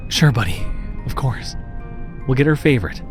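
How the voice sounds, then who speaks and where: A man's voice: mid-range voice, lively intonation, normal speed, over music, pitched above the performer's own voice, lightly acted. A man voicing a bereaved father in a horror story, tender and strained. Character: anguished bereaved father, gentle and desperate.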